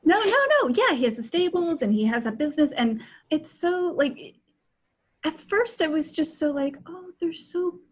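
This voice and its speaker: A middle-aged woman co-hosting a casual video-call chat, excitable and halting, often repeating herself, with a husky voice. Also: high voice. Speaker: co-host. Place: indoor room, on a video call.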